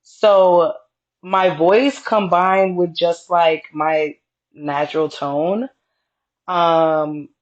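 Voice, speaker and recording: Low-voiced woman with a reserved tone. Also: fairly even intonation, extremely slow, muffled recording. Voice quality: deeper voice. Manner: reserved, straightforward tone. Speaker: podcast co-host. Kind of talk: casual conversation.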